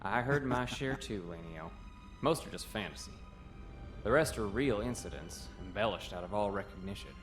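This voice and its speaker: A young military aide acted by a man speaks in a fairly low voice, polite and tactful. It is a sci-fi comic dub with music clearly behind the deferential, dutiful officer. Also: moderate pace, lightly acted. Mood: dutiful, steady. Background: prominent music.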